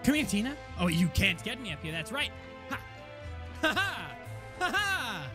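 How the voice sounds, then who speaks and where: A man's voice: very high voice, huge pitch swings, normal speed, music in the background, full character voice. A man playing a cocky, teasing boy at a daycare in an improvised Minecraft roleplay, taunting and exclamatory.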